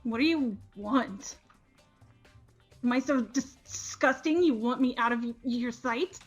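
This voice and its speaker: A woman's voice: a little high, unhurried, lo-fi sound, faint music behind. A woman voicing an insecure, paranoid teenage girl from a video game, with indignant, stammering outbursts. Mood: sullen, defensive. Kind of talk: amateur voice acting of a video game script.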